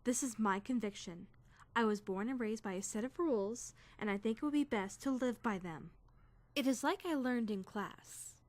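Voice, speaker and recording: A woman's voice: medium pitch, lightly acted. A woman voicing an earnest, indignant teenage girl student who is rigidly rule-bound, speaking formally and emphatically in a dramatic visual novel fan dub. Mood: resolute. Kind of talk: dramatic first-person visual novel narration and dialogue.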